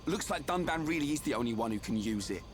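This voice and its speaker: An actor playing a blunt, brash young British soldier in a video game cutscene, talking in a colloquial, blokey way. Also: male voice, mid-range voice, expressive, quick pace, slight background noise, natural voice. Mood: puzzled, casual. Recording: game music under the voice. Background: loud background music.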